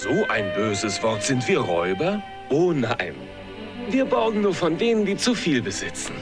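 An actor playing a breezy, roguish outlaw hero in a cartoon film, playful and mock-innocent. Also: male voice, medium pitch, big pitch swings, moderate pace, over music. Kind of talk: dubbed cartoon film acting.

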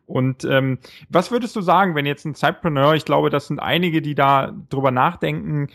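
A man's voice: fairly high pitch, moderate pace, vocal fry, fairly bright tone. Upbeat male podcast host with a cold. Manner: upbeat, welcoming, hesitant with fillers when asking. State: has a cold. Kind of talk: podcast intro and interview.

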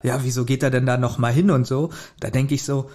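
A man's voice: medium pitch, steady tone, brisk, warm tone. A man co-hosting a podcast, commenting on a story in informal, opinionated chat. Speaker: podcast co-host. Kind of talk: casual chat reviewing an audio drama.

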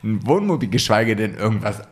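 Low voiced guy, super animated, laughs a lot, pokes fun at himself. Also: a little fast, husky. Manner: animated, laughs easily, self-mocking. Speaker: podcast co-host. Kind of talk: casual business podcast chat.

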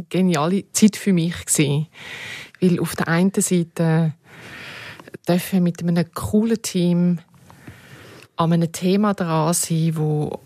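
A woman's voice: on the low side, darkish tone, no room sound. A middle-aged or older woman with a Swiss German accent, reminiscing conversationally and emphatically as an interview guest. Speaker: podcast guest, former radio editorial head.

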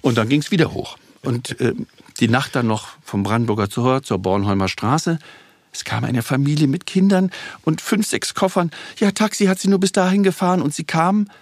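Older male guest, 60s, mid pitch, a bit husky, self-deprecating storyteller, dry close sound. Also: moderate pace, no room sound. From Berlin, Germany. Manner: lively anecdotal, self-mocking. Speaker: guest actor. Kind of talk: relaxed storytelling in a podcast interview.

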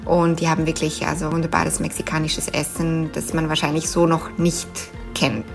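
A woman with a Swiss German accent answers interview questions in a fairly low voice. She thinks aloud, pausing on fillers and restarting her sentences. Soft music plays faintly behind her. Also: flat delivery, creaky. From Switzerland. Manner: thoughtful, with frequent fillers and restarts. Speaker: interview guest.

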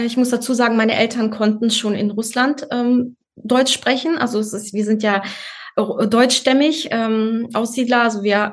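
Woman in her 30s, fairly high voice, talks with lots of ums, sharing her family story. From born in Russia, grew up in Germany. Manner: hesitant, many fillers and restarts. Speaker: podcast guest, mother. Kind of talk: personal storytelling in interview.

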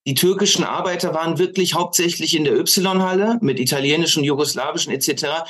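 A man speaking as a podcast guest in analytical political discussion, choosing academic words deliberately, with hesitant pauses. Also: fairly high pitch, a bit bright.

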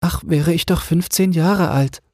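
A man's voice: a little high, measured pace, a little mellow, no room sound, clean studio sound, pitched above the performer's own voice. A man voicing a young mermaid princess, a wistful and earnest girl in a fairy tale. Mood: longing. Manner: earnest, longing.

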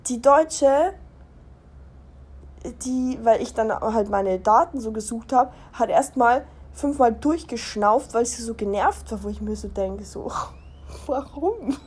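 A woman's voice: a little high, lively intonation, slow, darkish tone, slightly rough. A young adult woman from Germany talking casually as a podcast host, chatty and giggly, rambling and interrupting herself as she goes. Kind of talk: casual personal podcast monologue.

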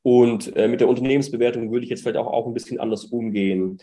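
A man's voice: medium pitch, fairly even intonation, quick pace, dark tone. A man speaking as a startup founder in an interview, giving conversational, detailed explanations of his experiences.

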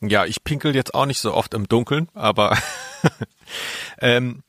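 A man in his thirties chatting casually and humorously as a podcast co-host, in a halting, amused way. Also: mid-range voice, a bit bright, no echo, clean studio sound. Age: 30s.

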